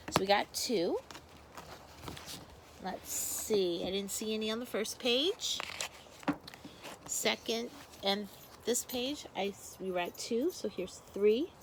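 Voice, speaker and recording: A woman reads and talks to young children like a first grade teacher. Her pace is very unhurried and her delivery expressive, at a middle pitch. There is faint noise in the background. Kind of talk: children's story read-aloud with casual asides.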